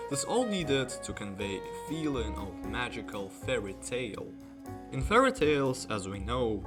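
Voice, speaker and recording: A man delivers scripted video essay narration in a lower-pitched voice. Guitar music plays clearly behind him as he explains things to his viewers. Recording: music under the voice.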